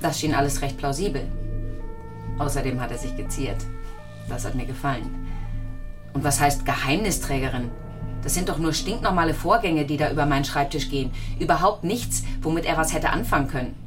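A low, wry female office worker played by an actress justifies herself in radio-drama testimony. She sounds defensive, with music clearly underneath. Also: brisk, close to an everyday voice. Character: self-justifying office woman giving testimony.

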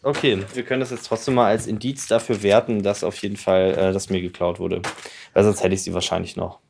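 A man's voice: lowish voice, flat delivery, a little fast, gravelly, darkish tone, slight background noise. A man, chatting casually in a jokey, self-reflective way. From Freising, Bavaria, Germany. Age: 20s. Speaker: podcast subject and co-host. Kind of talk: casual chat.

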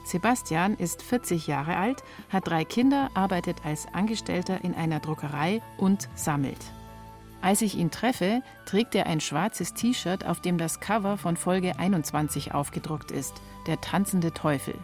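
A woman's voice: fairly low pitch, a little slow, darkish tone, soft background music. A woman reading scripted documentary narration as a feature reporter.

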